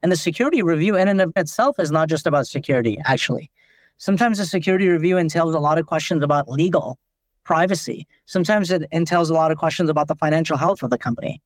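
A man's voice: fairly high pitch, fast talker, dry recording, clean studio sound. A man from Nepal, a company founder, explaining things in a podcast interview with lots of "like" fillers. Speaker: company founder and CEO, guest.

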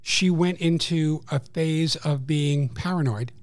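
A man with a New York accent, speaking as a guest in a measured and informative way with a slightly raised pitch. He lays out services step by step and restarts a phrase now and then. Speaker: program coordinator. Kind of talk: guest interview, explaining services.

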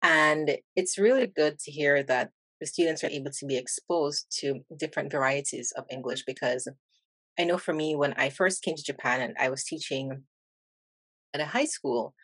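A woman with a Jamaican accent speaks in a notably low, even voice. She talks in a thoughtful, measured way, close to the mic in a dry room. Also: husky, no room sound. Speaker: English teacher and interviewer. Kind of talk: conversational interview.

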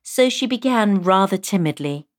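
A woman giving an expressive scripted reading of a children's storybook. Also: medium pitch, moderate pace.

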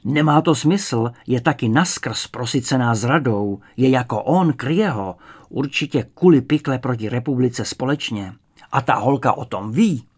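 Guy reading as nasty commie interrogator, shouting slogans, bullying, furious, audiobook villain. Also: medium pitch, slightly rough, close to an everyday voice. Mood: accusing, fanatical. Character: furious, fanatical male communist secret police interrogator, bullying.

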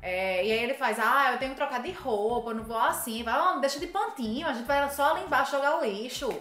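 A woman chats eagerly as a guest, with a slightly high voice. The room is echoey, with faint music behind her. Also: quick pace. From Northeast Brazil. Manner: eager, lively explainer. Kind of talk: casual chat.

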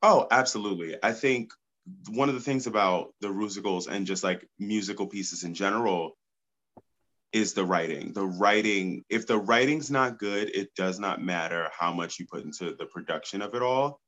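A man's voice: fairly low pitch, moderate pace, a bit bright. A congested man, a podcast guest, talking enthusiastically and outspokenly. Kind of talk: casual podcast chat.

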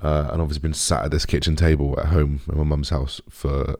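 A young British man with a deep, raspy voice, chatting casually as a podcast host in a rambling, informal way. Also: level tone, a little fast, a little mellow, dry recording, clean studio sound. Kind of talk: casual solo podcast chat.

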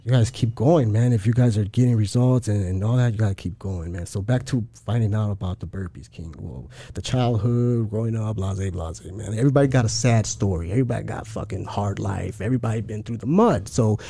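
A man's voice: on the low side, a little fast, gravelly, hardly any pauses, warm tone, husky. A man from Chicago, a guest talking casually in a streetwise way, swearing heavily and using lots of slang. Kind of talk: casual interview talk.

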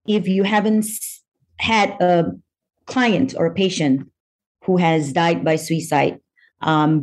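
A middle-aged woman from Malaysia, a psychiatrist answering interview questions in a measured, thoughtful way with frequent pauses. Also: on the low side, darkish tone. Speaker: psychiatrist guest.

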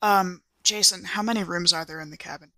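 A woman's voice: fairly low pitch, fairly even intonation, a little fast, bright, forceful tone. A woman playing a tabletop roleplaying game, casually describing what her character does.

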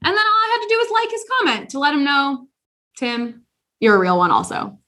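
Woman, podcast co-host, higher voice, blunt, animated, swears freely. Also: brisk. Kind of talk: casual chat.

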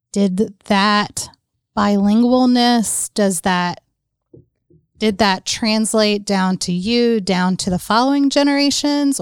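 A woman asks questions in a casual conversation, taking her time with each phrase. Her voice is smooth and clear. The room sounds dry. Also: mid-range voice, no echo.

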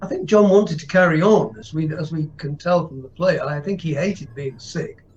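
A muffled, slightly lo-fi recording of an older man with a fairly high voice, a little noise behind him. He reminisces as a podcast guest, wandering through anecdotes and rephrasing as he goes. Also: normal speed. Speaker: interview guest with a career in television. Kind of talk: reflective anecdotal conversation. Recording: muffled lo-fi line with faint noise.

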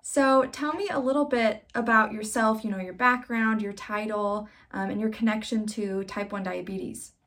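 A woman's voice: mid-range voice, steady tone, moderate pace, few pauses, a bit bright, distant mic. A young woman hosting a casual interview. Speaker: interview host.

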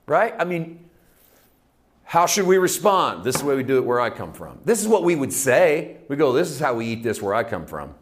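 A man preaching a sermon, building with repeated phrases and dropping to hushed, intimate passages. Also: mid-range voice, very animated, a little fast. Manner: emphatic, repetitive preaching cadence, swings from loud to hushed. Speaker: pastor.

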